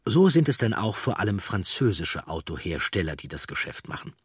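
A man reading scripted documentary narration with measured, storytelling delivery and a husky voice. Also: sits low, sing-song, no room sound, studio quality.

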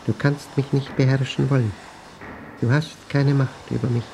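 An actor playing an obsessed, paranoid man in a radio drama monologue, speaking intensely in halting, clipped fragments as he battles a machine he fears. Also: medium pitch, level tone, creaky, background noise, lightly acted. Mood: defiant.

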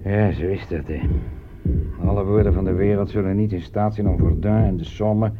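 A man playing a war-weary, plain-spoken army sergeant who talks haltingly in a Dutch radio play. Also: sits low, fairly even intonation, lightly acted. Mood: weary, reminiscing. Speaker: actor. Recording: dull old radio recording with hum, clicks.

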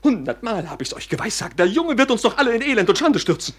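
An actor playing a scheming, envious younger son in a classical radio play, theatrical and declamatory, with an oily show of devotion. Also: male voice, high voice, very animated, quick pace, a bit bright, breathy edge, heavily acted. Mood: feigned outrage. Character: scheming, envious younger son, falsely pious, coldly ambitious.

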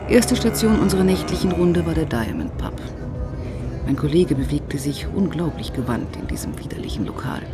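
An actress playing a police inspector who narrates her case in a sober, measured way for a radio crime drama. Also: low voice, animated, music in the background. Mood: sober, tense.

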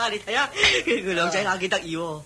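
A man's voice: high voice, very animated, normal speed, heavy background noise. A man playing an easygoing labourer in a Hong Kong Cantonese radio drama, given to sighs and exclamations. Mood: weary. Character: easygoing, resigned male labourer, easily excited.

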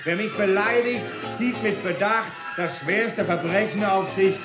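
A man's voice: sits high, unhurried. An actor playing a boastful, hot-tempered swordsman poet in a pompous, declamatory radio drama style. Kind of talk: theatrical radio drama acting. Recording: echoey room, loud music behind.

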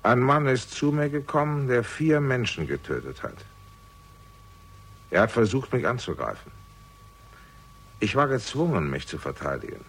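An actor playing a cold, self-righteous, composed killer with a curt, unyielding manner in a 1960s German radio crime drama. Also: male voice, mid-range voice, big pitch swings, moderate pace, long pauses, fairly bright tone, husky, heavily acted, low-quality recording. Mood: calculating.

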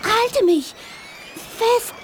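An actress playing a small, eager, childlike mutant seer in a 1980s radio drama, in simple, breathy phrases. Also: female voice, very high-pitched, animated, very slow, a little hiss or hum, exaggerated voice, low-quality recording. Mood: eager, concentrating.

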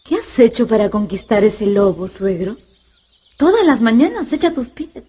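High, husky, animated young mother. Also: normal speed. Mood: friendly, curious. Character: woman, a curious, excitable wife and mother. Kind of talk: radio drama acting.

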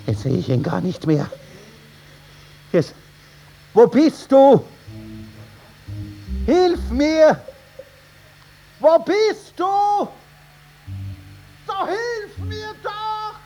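An actor playing a desperate farmer in a 1950s German radio drama, imploring and halting as he pleads for help. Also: very high voice, sing-song, dark tone, faint music behind, exaggerated voice. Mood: despairing panic. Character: desperate, pleading male farmer losing his grip. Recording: noisy 1950s radio recording.

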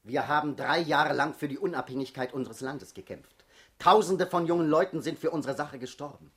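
An actor playing a proud, principled resistance politician in a German radio drama, formal and rhetorical. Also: male voice, on the high side, brisk, natural voice. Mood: indignant.

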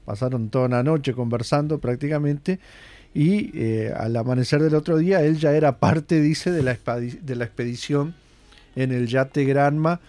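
A man with a mellow, warm tone talks through a historical segment in a loose, conversational way. He often pauses on fillers and restarts his phrases. His pitch sits in the middle range. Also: no room sound. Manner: conversational, halting, many fillers. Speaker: radio host, commentator. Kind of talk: casual spoken commentary.

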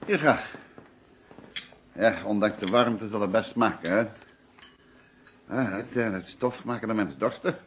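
A man's voice: on the low side, measured pace, long pauses, lo-fi sound, slight background noise, close to an everyday voice. An actor playing a courteous, sociable male insurance representative in a Dutch radio play, speaking formally and chattily with little tag questions. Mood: affable, chatty.

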